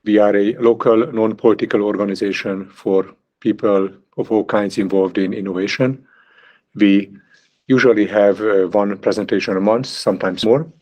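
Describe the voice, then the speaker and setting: A man introducing a speaker and explaining logistics as host of an online meeting over a video call, pausing often on uh. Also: fairly low pitch, fairly even intonation, a little mellow. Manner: halting, with many uhs.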